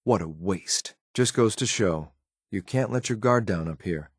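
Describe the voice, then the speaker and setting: A man's voice: fairly low pitch, lively intonation, measured pace, no room sound, studio quality. A man voicing a stern, dutiful synth soldier companion in a video game, speaking in a clipped, matter-of-fact military way with some disdain. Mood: contemptuous.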